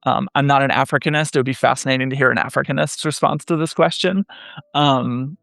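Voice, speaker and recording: Man, gravelly fry, flat even delivery, thoughtful academic guest, dry close mic. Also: mid-range voice, no room sound. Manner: thoughtful, many "um" pauses, measured. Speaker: podcast guest, French studies scholar. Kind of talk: academic interview conversation.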